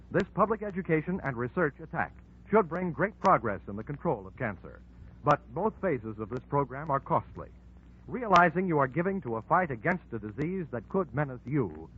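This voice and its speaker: A male announcer with a fairly high, animated voice. He delivers scripted copy in 1940s radio style, on a tinny recording with faint noise.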